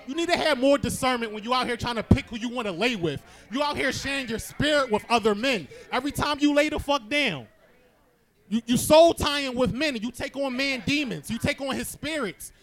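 A man's voice: extremely high pitch, fairly bright tone. A man co-hosting a live podcast, brashly and profanely lecturing about dating. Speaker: podcast co-host. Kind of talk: live podcast talk before an audience.

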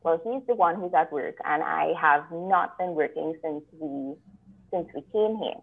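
A woman from Trinidad, a lawyer guest telling her story in a measured, reflective way over a remote call. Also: deep voice, creaky. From Trinidad and Tobago. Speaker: guest, attorney. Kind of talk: conversational interview. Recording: tinny phone-like call line.

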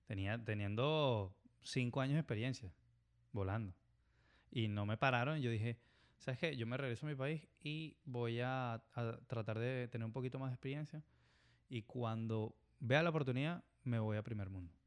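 A man's voice: medium pitch, moderate pace, clear, resonant tone. A man from Venezuela with a Venezuelan accent, chatting casually on a podcast and telling stories with quoted dialogue. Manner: storytelling, acts out quoted dialogue. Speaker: airline pilot guest.

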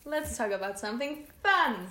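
Young woman student co-host, crisp bright voice, self-deprecating, echoey room with faint hiss. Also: on the high side, animated, moderate pace. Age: young adult. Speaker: podcast co-host. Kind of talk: casual chat.

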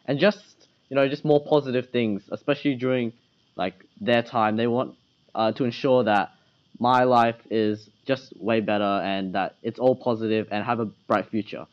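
A teenage student who hosts a school podcast, talking in a casual, earnest way. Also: male voice, steady tone, normal speed. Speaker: student interviewer. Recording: dull low-fidelity school recording.

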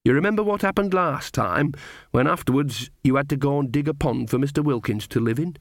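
A man's voice: mid-range voice, lively intonation, fairly quick, dry recording, clean studio sound, lightly acted. A man voicing a cheerful, earthy old woman witch in a storybook, cheeky and folksy in manner. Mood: chatty. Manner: folksy, mischievous.

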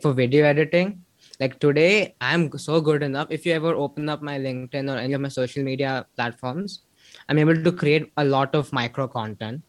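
A man's voice: on the high side, dry recording. A young man from India with an Indian English accent, chatting casually as an interview guest, rambling with many fillers. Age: young adult. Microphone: video call.

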